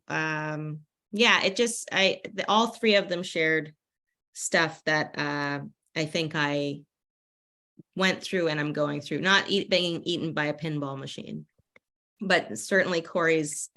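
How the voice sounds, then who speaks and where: American woman, middle-aged author, low bright voice, playful and rambling. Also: steady tone, slow. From United States. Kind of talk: casual author panel talk.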